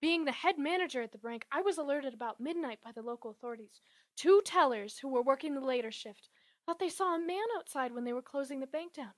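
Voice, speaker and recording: A woman's voice: high-pitched, lively intonation, fast. A woman voicing an earnest, talkative bank manager who gets flustered easily. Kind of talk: amateur 1930s-style radio drama parody.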